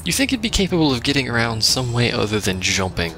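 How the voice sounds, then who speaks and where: A man's voice: medium pitch, big pitch swings, fast, music in the background. A man giving casual, dry and sarcastic commentary on a video game he is playing. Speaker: let's play commentator.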